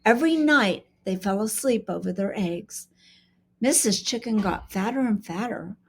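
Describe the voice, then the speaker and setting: A woman's voice: medium pitch, gravelly, slightly rough. A woman reading a children's storybook aloud expressively, as a school reader does in an interactive session. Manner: expressive, pauses to ask questions.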